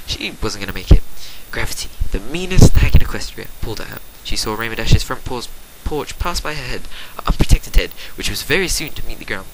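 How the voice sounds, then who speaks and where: A man reading fan fiction as a dramatic narration, theatrical and swinging between hushed and forceful, on an amateur home recording. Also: mid-range voice, sing-song, fairly quick, few pauses, heavy background noise. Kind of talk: dramatic storybook-style narration.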